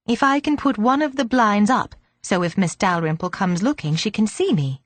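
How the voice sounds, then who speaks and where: A woman's voice: medium pitch, lively intonation, normal speed, no room sound, natural voice. A woman reading a storybook voices a polite, eager schoolgirl who is curious and well-mannered.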